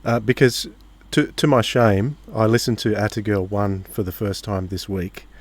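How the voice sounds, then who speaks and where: A man's voice: lowish voice, fairly even intonation, moderate pace. A man co-hosting a podcast, chatting casually as he puts questions to a guest. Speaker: podcast co-host. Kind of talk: casual interview chat.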